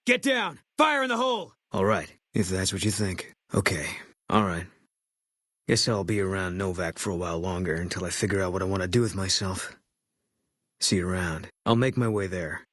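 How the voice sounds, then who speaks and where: Terse, husky low-voiced brooding sniper. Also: male voice, no echo, studio quality. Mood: urgent combat alert. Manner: clipped, deadpan, guarded. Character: terse, brooding male military sniper companion. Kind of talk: video game character voice lines.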